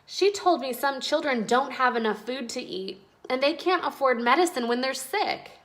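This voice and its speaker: An eager young storybook schoolgirl, played by a woman, chimes in with excitable, earnest energy. Her voice is high and youthful. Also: moderate pace.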